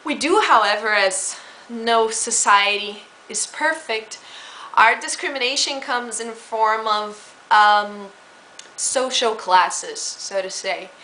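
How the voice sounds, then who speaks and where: A woman's voice: on the high side, slow pace, pushed and bright, a little hiss or hum, low-quality recording. A woman from Brazil, explaining her home country in a chatty, casual way with fillers and restarts. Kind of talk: casual spoken talk.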